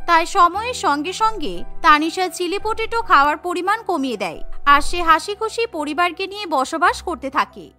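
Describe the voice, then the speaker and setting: Female cartoon narrator, scripted storytelling, very high pitch, bright tone, music bed. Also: expressive, normal speed.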